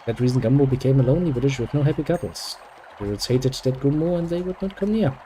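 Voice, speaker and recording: A man's voice: mid-range voice, expressive, fast talker, mellow, a little hiss or hum. A man reading game dialogue aloud and adding dry, sarcastic jokes as he plays. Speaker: gameplay video host.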